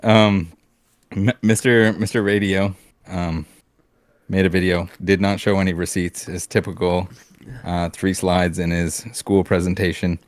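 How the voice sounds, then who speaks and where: Brief: low-pitched Canadian man, blunt casual podcast co-host, dry close sound. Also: level tone, measured pace, no room sound. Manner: blunt, heated ranting with swearing and restarts.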